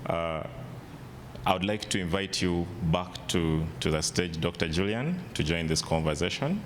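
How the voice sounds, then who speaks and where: A man working as the emcee of an event, making announcements in a halting way with restarts. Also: animated. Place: large event hall. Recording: long hall reverb, loud rumble.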